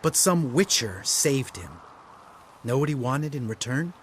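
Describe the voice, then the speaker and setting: Male actor as bitter young fantasy game monster slayer, mid-pitched, sardonic, blunt, cynical, some noise behind. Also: animated, measured pace, natural voice.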